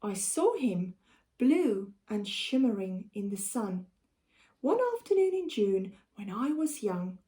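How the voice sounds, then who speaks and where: Female storybook reader, South African accent, mellow voice with very lively intonation, unhurried and deliberate. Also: mid-range voice. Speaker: primary school teacher. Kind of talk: storybook read-aloud for children.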